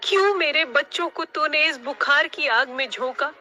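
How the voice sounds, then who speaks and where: A woman's voice: high-pitched, normal speed, bright, forceful tone, faint music behind. A woman voicing an anguished, defiant mother bird in a cartoon story, with impassioned, dramatic delivery. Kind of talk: Hindi cartoon story acting.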